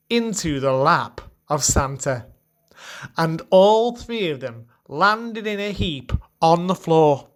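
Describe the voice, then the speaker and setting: A man reading scripted storytelling narration for a Christmas radio comedy. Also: sits high.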